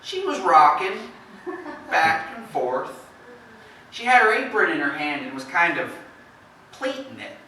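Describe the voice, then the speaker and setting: Folksy neighboring farmer played by a man, halting storyteller, slow and lively-pitched, amateur stage play heard from afar. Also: heavily acted. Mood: uneasy, recalling. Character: plain-spoken neighboring farmer recounting a shocking visit. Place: theatre stage, indoors. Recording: echoey stage from far off, faint noise.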